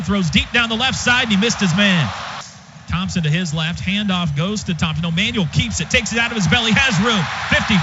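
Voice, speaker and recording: An American man calling live football on the radio in clipped, rapid-fire phrases, with an excitable delivery that rises on big plays. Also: high-pitched. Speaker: play-by-play announcer. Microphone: broadcast booth microphone. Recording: dull lo-fi broadcast, loud stadium noise.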